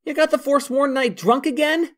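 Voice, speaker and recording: A man's voice: extremely high pitch, fairly even intonation, normal speed, fairly bright tone. A man voicing a harried, flustered young office assistant. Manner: flustered, exasperated.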